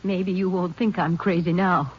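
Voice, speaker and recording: Distraught wife, muffled vintage radio. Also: female voice, on the low side, fairly even intonation, normal speed, husky. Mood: urgent, vindicated. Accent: American. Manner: strained, stubborn, emotional. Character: distraught, insistent wife convinced someone wants her dead. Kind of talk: 1950s radio drama acting. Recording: muffled old radio recording, faint noise.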